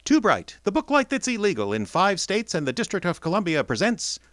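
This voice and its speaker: A male announcer reads scripted radio theater copy with a very animated, rising and falling delivery. His phrasing is deliberate and theatrical. The sound is dry, with almost no room. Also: high voice, no echo. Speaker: announcer and host.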